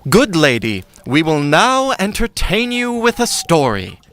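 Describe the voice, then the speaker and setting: A sly male trickster played by an actor speaks slowly in a high, lively voice. His manner is theatrical and mocking, for an audio drama. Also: full character voice. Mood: courtly, sly. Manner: theatrical, deliberate. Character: sly, mocking brother of a witch, scheming trickster.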